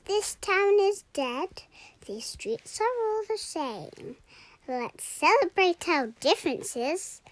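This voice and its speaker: A young girl reading a rhyming children's storybook aloud in a deliberate, expressive way. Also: very high-pitched, no echo. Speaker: story reader.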